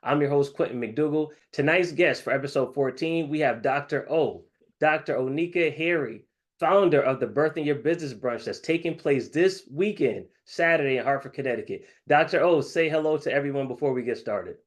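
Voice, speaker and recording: A man's voice: fairly high pitch, brisk. A man hosts an interview show with an upbeat, promotional manner. He talks up his guest and her event in a friendly way. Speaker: host.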